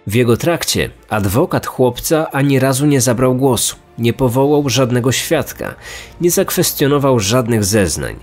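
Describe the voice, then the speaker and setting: A man reading a scripted true-crime narration as a podcast narrator. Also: medium pitch. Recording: music under the voice. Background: background music.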